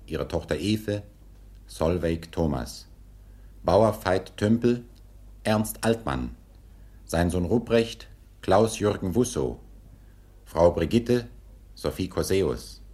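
A man's voice: sits low, darkish tone. A man formally announcing scripted credits for a radio production.

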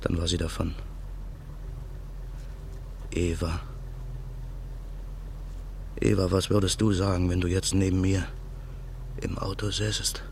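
A brooding low-voiced narrator. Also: male voice, little pitch movement, creaky, darkish tone, a little hiss or hum, full character voice. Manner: reflective, measured. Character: man narrating his own past, brooding. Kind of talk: radio play narration.

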